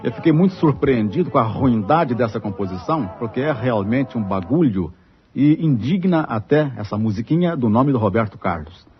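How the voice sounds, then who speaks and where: A man's voice: medium pitch, expressive, normal speed. A man with a Brazilian accent delivering a blunt, scathing record review as a radio critic. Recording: muffled archive radio, faint music.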